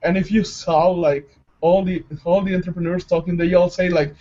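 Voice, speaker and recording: A man from El Salvador, interviewing a guest and often pausing to search for words. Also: high voice, little pitch movement, dark tone. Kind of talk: interview questions. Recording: slightly dull lo-fi recording.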